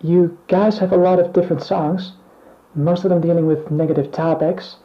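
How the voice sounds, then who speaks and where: A man acting in a scripted mock band interview, speaking in a measured, plain and earnest way. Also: a little high, fairly even intonation, highs cut off, natural voice. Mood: calm, earnest.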